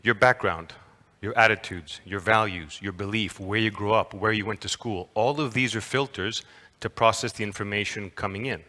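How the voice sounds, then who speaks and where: A man gives a lively lecture from a stage, playful and engaging as he quizzes the crowd. His voice is bright and forceful, set fairly low. The sound rings with the long reverb of a large hall. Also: normal speed, hardly any pauses. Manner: playful, engaging, asks the audience questions. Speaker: conference lecturer. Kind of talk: interactive lecture to an audience.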